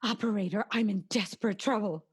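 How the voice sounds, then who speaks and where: A woman's voice: mid-range voice, fairly quick, husky, lightly acted. A woman acting a nervous, demanding invalid wife, alone and fearful, in a 1940s-style suspense radio play. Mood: frightened. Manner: imperious, fretful, stammering in fear.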